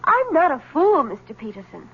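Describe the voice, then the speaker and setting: An actress playing a devoted, intense wife in a 1970s radio drama, speaking in a crisp, proud, emphatic way. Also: female voice, high voice, wildly swooping pitch, moderate pace, lo-fi sound, exaggerated voice. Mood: defiant. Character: devoted, intense young wife insisting on her story.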